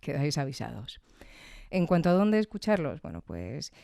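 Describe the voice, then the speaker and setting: A woman podcaster talks through her review in a reflective, conversational way. Her voice is mellow and smooth, recorded close and dry. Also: low voice, sing-song, normal speed, dry recording. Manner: reflective, frequent tag questions.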